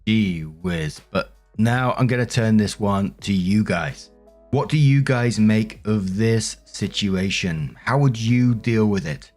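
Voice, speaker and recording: A man's voice: fairly low pitch, slow, darkish tone, soft background music. A man narrating online stories aloud as a host, with informal, conversational commentary and casual swearing.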